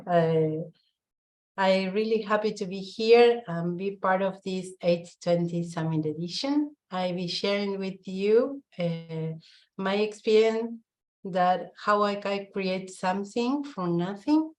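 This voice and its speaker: A woman from Argentina with an Argentine Spanish accent, a visual artist giving a halting presentation on a video call, searching for her words in English. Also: mid-range voice, a little mellow. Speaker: guest speaker, visual artist. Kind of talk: online summit presentation.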